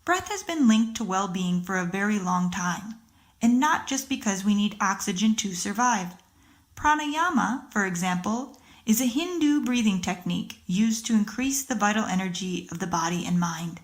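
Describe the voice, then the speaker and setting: A woman reading a practice passage aloud as scripted narration, with deliberate phrasing. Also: mid-range voice, expressive.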